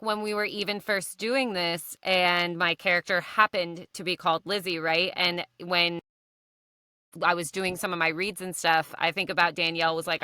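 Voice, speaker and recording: A woman's voice: lowish voice, sharp tone, no room sound. A woman chatting casually in a rambling way, often checking in with a tagged-on question. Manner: rambling, ends phrases with "right?". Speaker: voice actor on a podcast panel. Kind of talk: casual chat.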